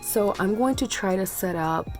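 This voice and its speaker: A woman's voice: mid-range voice, moderate pace, faint music behind. A young woman chatting casually in a home vlog, rambling with fillers while her phone camera sits on the couch. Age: young adult. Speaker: student vlogger. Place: home room under a loft bed.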